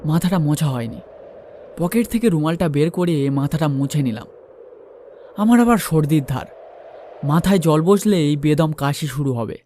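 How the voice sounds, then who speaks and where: Male storyteller, high-pitched voice, dramatised first-person narration, faint ambient noise. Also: expressive, normal speed, long pauses, dark tone. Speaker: narrator and lead character of a ghost story.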